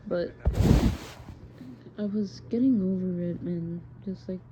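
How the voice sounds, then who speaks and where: A young adult woman talking haltingly and informally, as if into a personal audio diary. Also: on the low side. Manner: hesitant, halting, informal with swearing. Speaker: audio diarist. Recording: muffled home recording, clear background noise. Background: background noise, friends' voices.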